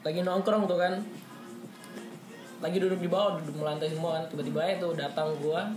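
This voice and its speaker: High-voiced young man from Papua. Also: a little fast. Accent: Jakarta. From Papua, Indonesia. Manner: relaxed, slangy, animated retelling. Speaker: podcast guest. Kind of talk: casual storytelling chat. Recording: echoey room, faint music.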